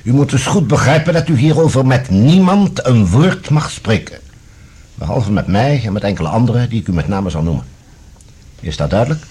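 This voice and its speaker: An actor playing a composed, authoritative security general in a radio drama, speaking formally and courteously but firmly, with a husky voice. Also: male voice, mid-range voice, big pitch swings, brisk, darkish tone. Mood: measured, guarded. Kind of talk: Dutch radio drama acting.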